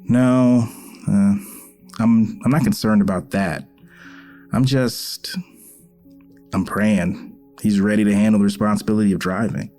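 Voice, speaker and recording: Mellow, earnest middle-aged dad played by a man. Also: fairly low pitch, fairly even intonation, a little slow, pauses often, faint music behind. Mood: earnest, worried. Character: caring, dutiful middle-aged father, gently wry. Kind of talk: audio drama acting, conversational.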